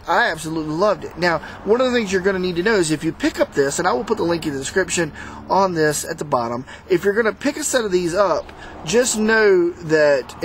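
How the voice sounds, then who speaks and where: A man giving a casual, unscripted product review to viewers in a halting, rambling, conversational way. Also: fairly high pitch, a little hiss or hum. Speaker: product reviewer, host of a motorsport video channel.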